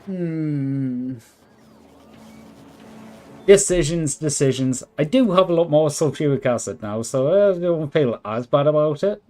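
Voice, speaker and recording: Hoarse man musing slowly over a game. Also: a little high, lively intonation, mellow. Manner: thinks aloud, chats with viewers. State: voice worn and hoarse. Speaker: live streamer. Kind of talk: relaxed live gameplay commentary. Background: faint background music.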